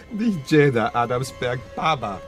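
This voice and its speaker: A merry, easygoing young Serbian in a radio crime drama. His delivery is animated in pitch, amused yet drowsy and unhurried. Music plays clearly behind him.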